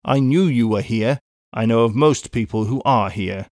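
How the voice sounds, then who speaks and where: A man voicing an irritable, unaffectionate English brother in a storybook, abrupt and impatient, in a low tone. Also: moderate pace, darkish tone, dry recording, studio quality, natural voice. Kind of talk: audiobook character voice.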